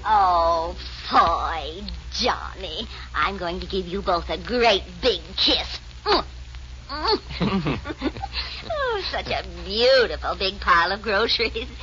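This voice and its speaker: A woman's voice: mid-range voice, big pitch swings, slow pace, sharp tone, full character voice. An actress playing a sweet, dotty old grandmother with a sinister streak in a 1940s radio drama, fussy and sugary. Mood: doting, sweet. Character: sweet, dotty old grandma who poisons apple pies. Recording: muffled, noisy 1940s radio recording.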